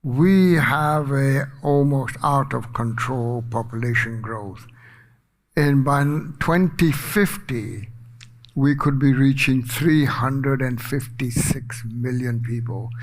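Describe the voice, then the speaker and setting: An older man speaking on a policy panel, soft-spoken and hesitant, with frequent fillers. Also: mid-range voice, slow pace. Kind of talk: panel talk.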